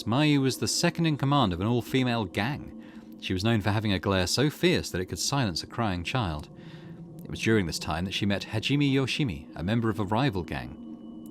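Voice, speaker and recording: A man hosting a gaming video, reading game text aloud in a measured, narrator-like way. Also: on the low side, background noise. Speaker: gaming channel host.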